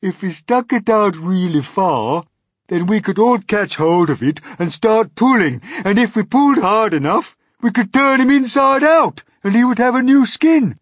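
A woman voicing a gloomy male earthworm who speaks loudly and emphatically. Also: mid-range voice, animated, normal speed, husky, dry recording, studio quality, pitched above the performer's own voice. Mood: eager.

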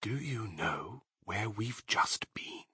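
A man's voice: medium pitch, wildly swooping pitch, measured pace, pushed and bright, slightly rough, no echo, studio quality, voice pushed up, exaggerated voice. A man voicing a sly, courteous fox father in a children's storybook, suave and savouring his words. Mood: conspiratorial.

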